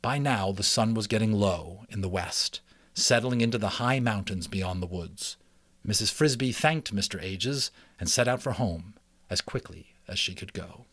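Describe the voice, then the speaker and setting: A man's voice: on the low side, normal speed, a bit bright, husky, no room sound. A man reading a children's novel aloud as an amateur audiobook narrator, with dramatic storytelling delivery.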